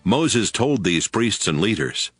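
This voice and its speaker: Male narrator, lowish pitch, scripted scripture storytelling, faint music behind. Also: big pitch swings, slow, husky, no room sound, studio quality.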